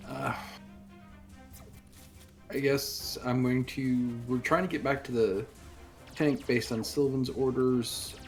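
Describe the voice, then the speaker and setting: A man with a middle-pitched voice talks as a player in a tabletop game. His delivery is casual and conversational. Faint music runs behind him. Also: fairly even intonation, slow pace, long pauses.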